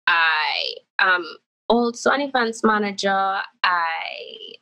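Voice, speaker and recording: A young woman from Trinidad introducing herself on a video call, listing facts in a measured, plain way. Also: medium pitch, gravelly, bright, forceful tone, low-quality recording. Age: young adult. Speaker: podcast guest. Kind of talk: casual self-introduction.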